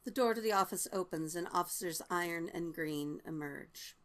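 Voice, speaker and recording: A woman's voice: lowish voice, normal speed, a bit bright. A woman reading stage directions as scripted narration for a table read.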